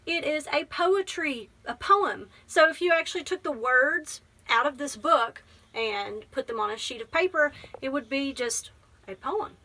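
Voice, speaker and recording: Bright high-voiced woman reading to children. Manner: explains simply, prompts with questions. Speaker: library teacher. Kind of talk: children's book read-aloud and teaching.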